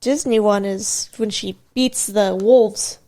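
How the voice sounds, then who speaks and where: A woman's voice: medium pitch, steady tone, takes it slowly, warm tone. A woman co-hosting a casual podcast, chiming in with jokes. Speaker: podcast co-host. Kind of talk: casual chat.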